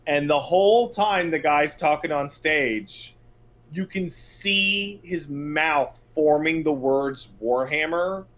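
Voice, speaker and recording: A man's voice: fairly high pitch, takes it slowly, fairly bright tone. A man co-hosting a podcast with wry, mocking, profane commentary on games, acting out imagined quotes. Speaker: podcast co-host.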